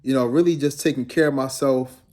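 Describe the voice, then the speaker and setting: A man's voice: mid-range voice, fairly even intonation, a little fast, a little mellow. A young man from Guyana, a fitness trainer, talking casually and rambling to his viewers in a laid-back vlog.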